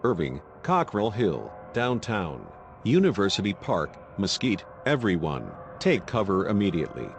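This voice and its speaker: Urgent low-voiced TV weatherman played by an actor. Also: huge pitch swings, a little slow, a little hiss or hum, heavily acted. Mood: grave, urgent. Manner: grave, urgent, alarmed. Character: urgent male TV weatherman during a deadly tornado. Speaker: TV meteorologist. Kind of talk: live emergency weather broadcast.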